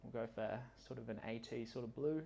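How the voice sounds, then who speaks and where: A man presenting an informal technical demo at an indoor meetup, speaking casually and a little haltingly as he works through the software. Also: mid-range voice, gravelly, breathy edge. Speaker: conference speaker, software consultant. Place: indoor meetup venue with large windows and projector screen.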